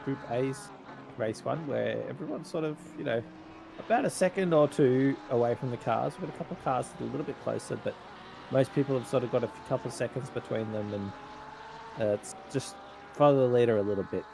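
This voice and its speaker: A man's voice: medium pitch, fairly quick, gravelly, noisy background. A man with an Australian accent giving chatty, rambling colour commentary on a sim race. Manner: chatty, rambling analysis with laughs. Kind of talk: sim racing color commentary.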